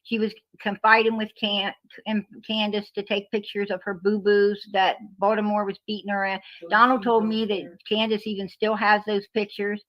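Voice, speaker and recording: A woman's voice: mid-range voice, little pitch movement, moderate pace, no echo, dull audio. A woman talking bluntly and indignantly on a livestream panel. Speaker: panel guest. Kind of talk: heated livestream panel talk.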